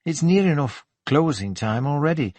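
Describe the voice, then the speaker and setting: A man's voice: on the high side, expressive, moderate pace, a little mellow, no room sound, pitched above the performer's own voice. Audiobook role: male reader as a Geordie shop girl in her twenties, friendly and teasing, lilting with a touch of husk. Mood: apologetic, chatty. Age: 20s. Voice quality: slightly husky. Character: young shop woman, teasing, friendly, chatty.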